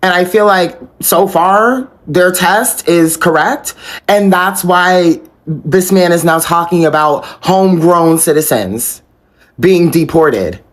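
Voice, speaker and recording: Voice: mid-range voice, takes it slowly. An American speaker on a casual social media rant, exasperated and incredulous, swearing freely. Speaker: political commentator on social media.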